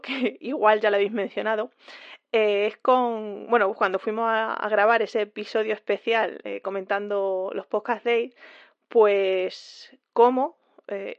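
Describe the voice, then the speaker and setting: A woman's voice: mid-range voice, no echo. Hesitant woman on a dull, dry recording. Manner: hesitant, many fillers. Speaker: podcast contributor. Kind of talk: recorded message.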